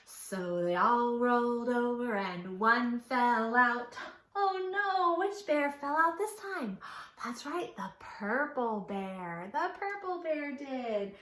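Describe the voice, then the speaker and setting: A woman's voice: on the high side, animated, slow, fairly bright tone. A woman leading a children's storytime, talking in an exaggerated singsong way as if to small children. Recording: echoey room.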